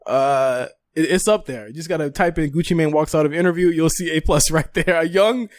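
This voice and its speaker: Male podcast host, fairly high voice, slangy casual interviewer, dry recording. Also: fairly quick, dry recording. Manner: slangy, profane, conversational.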